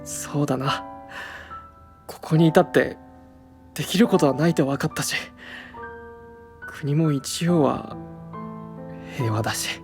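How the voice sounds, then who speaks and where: A man playing a weary, gentle young knight who doubts himself, with subdued, hesitant delivery in a fantasy audio drama. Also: a little high, a little slow, long pauses, over music.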